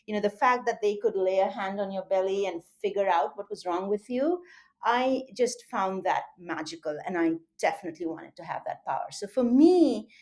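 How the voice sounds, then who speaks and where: A middle-aged woman with an Indian accent, a surgeon and medical educator, recounting her career in an interview in a measured, articulate way. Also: medium pitch, expressive, a little mellow. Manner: measured, articulate, with occasional fillers.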